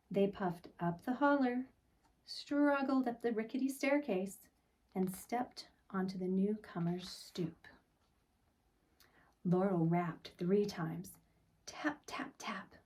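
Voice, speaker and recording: A woman reading a picture book aloud for children in an expressive, deliberate storytelling manner, with phrases that often end softly. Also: mid-range voice, far from the mic, poor audio.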